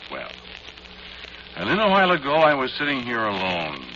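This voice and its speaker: A man acting a doomed inventor in an old radio horror drama, speaking to the listener in an intimate, pleading, halting way. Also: medium pitch, huge pitch swings, bright, forceful tone, slight background noise. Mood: brooding, confiding. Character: doomed male inventor of a time machine, haunted, pleading. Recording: muffled old radio recording with music.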